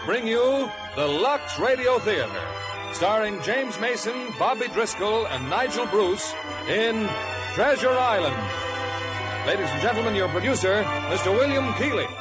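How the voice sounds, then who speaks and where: A man's voice: high-pitched, very animated, moderate pace, over loud music, low-quality recording. A man announcing in a grand, projected mid-century radio style. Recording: old radio broadcast.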